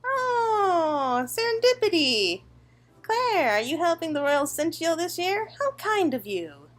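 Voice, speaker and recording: A woman's voice: extremely high pitch, sing-song, slow, soft background music, pitched above the performer's own voice, full character voice. A woman voicing a gracious, cheerful guild mistress in a video game, polite and bubbly. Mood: delighted.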